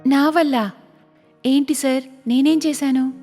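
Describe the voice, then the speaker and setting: A woman's voice: high-pitched, steady tone, unhurried, dark tone. A woman voicing a plucky young village vegetable seller in a cartoon story, speaking earnestly and respectfully. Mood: eager. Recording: long reverb, music behind.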